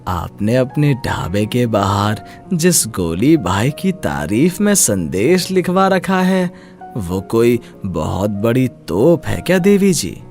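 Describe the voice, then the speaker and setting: A man voicing an easygoing, burly young man who speaks politely and confidently in an animated moral story. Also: medium pitch, slow pace, soft background music, pitched below the performer's own voice. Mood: cool, curious.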